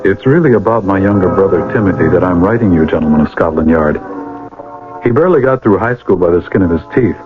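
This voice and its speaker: An actor playing a stern, responsible older brother in a radio drama. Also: male voice, low voice, animated, fast, music in the background. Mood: sober narrating. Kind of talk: 1980s radio drama acting with narration. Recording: tinny old radio broadcast.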